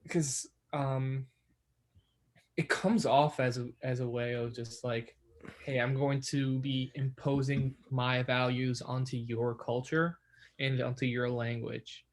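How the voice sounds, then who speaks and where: A man's voice: medium pitch, little pitch movement, takes it slowly. Hesitant young man, casual podcast chat. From New York, USA. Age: young adult. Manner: hesitant, many fillers and restarts. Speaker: podcast co-host.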